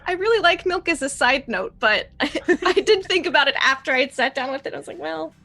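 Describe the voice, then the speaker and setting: Very high, bright-voiced woman player. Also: expressive, fast, slight background noise. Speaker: player in a tabletop game. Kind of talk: casual banter.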